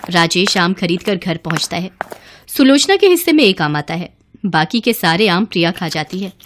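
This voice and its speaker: A female storyteller reads a scripted tale. Her voice sits in the middle range for a woman, and she delivers it as narration. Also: mid-range voice.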